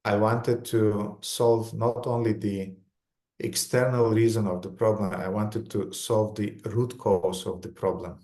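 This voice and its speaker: A man with a Romanian accent giving a religious lecture in short phrases, pausing for an interpreter. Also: lowish voice, steady tone, mellow.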